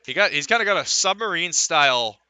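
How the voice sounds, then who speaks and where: A man's voice: fairly high pitch, expressive, brisk, sharp tone, clean tone. A man calling live baseball play-by-play for radio. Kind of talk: live radio sports commentary.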